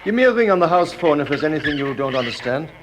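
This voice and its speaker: An actor playing a formal, brisk deputy lab director in a radio drama, giving instructions with animal noise behind him. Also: male voice, a little high, expressive, natural voice. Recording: noisy, animal sounds behind.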